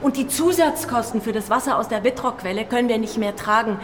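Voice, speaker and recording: An actress playing a harried, blunt and sardonic hospital doctor in a modern radio drama. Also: female voice, a little high, fast talker, lightly acted. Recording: echoey hospital hall, faint noise.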